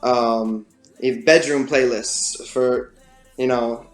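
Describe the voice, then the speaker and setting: Male guest, raspy voice, mid pitch, halting casual delivery, roomy echo. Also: steady tone, soft background music. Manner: casual, halting, lots of fillers and restarts. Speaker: rapper and singer guest. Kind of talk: casual interview chat.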